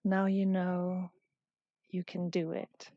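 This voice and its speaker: A woman leading a guided yoga class as the teacher, calm and gentle, speaking in short instructions with long pauses. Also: lowish voice, no echo, lo-fi sound.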